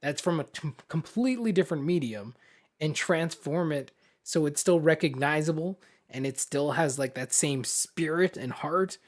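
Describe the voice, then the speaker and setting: A man's voice: a little high, moderate pace. A man co-hosting a podcast in casual conversation, agreeing and explaining his points. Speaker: podcast co-host.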